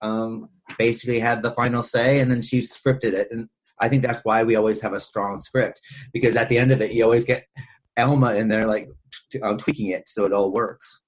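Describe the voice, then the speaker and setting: A man with a husky voice talking casually and ramblingly as a podcast host discussing film. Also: mid-range voice, fairly even intonation, brisk, low-quality recording.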